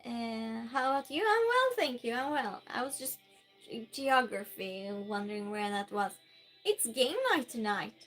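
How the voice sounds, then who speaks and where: Slow, high-voiced woman with a Norwegian accent. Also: expressive, fairly bright tone, soft background music. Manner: friendly, chatty, many fillers and restarts. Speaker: live streamer. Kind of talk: casual live-stream chat, reading viewer messages aloud.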